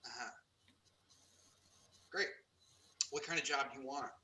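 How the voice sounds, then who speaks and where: A man's voice: mid-range voice, a little slow, pushed and bright. A man playing a smug, self-pitying Hollywood actor in a comedy table read. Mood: awkward.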